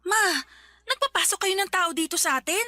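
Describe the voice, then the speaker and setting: A woman's voice: very high voice, lively intonation, normal speed, pushed and bright, no room sound, exaggerated voice. An actress with a Filipino accent playing a guarded, anxious young woman in love in a Tagalog radio romance drama. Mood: puzzled.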